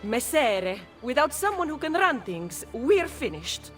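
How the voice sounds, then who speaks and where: Worldly, high-voiced Italian courtesan. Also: female voice, sing-song, unhurried, fairly bright tone, soft background music, full character voice. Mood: worried, frustrated. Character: worldly, anxious courtesan at a Renaissance brothel. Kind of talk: video game cutscene acting.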